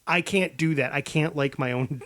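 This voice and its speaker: A man with a slightly high voice co-hosts a comedy podcast. He banters casually, riffing wryly and feeling his way haltingly through his phrases. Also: fairly bright tone. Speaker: podcast co-host.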